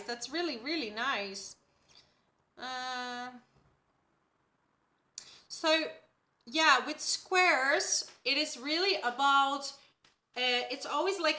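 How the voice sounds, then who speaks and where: A woman's voice: sits high, pushed and bright, roomy sound. A woman from the Netherlands, a native Dutch speaker, hosting a casual live-stream astrology reading at home and often checking in with her viewers by asking "right?".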